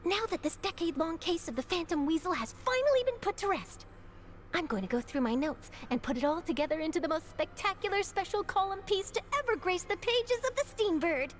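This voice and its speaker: Eager young game reporter, extremely high voice, lively swooping pitch, gushing, theatrical. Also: female voice, fairly quick. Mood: gushing excitement. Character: eager young female newspaper reporter, effusive. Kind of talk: animated video game voice acting.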